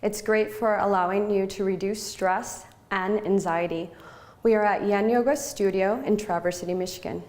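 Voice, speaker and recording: A woman yoga instructor leading a guided relaxation in short, measured, soothing phrases with pauses between them. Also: medium pitch, fairly even intonation, darkish tone.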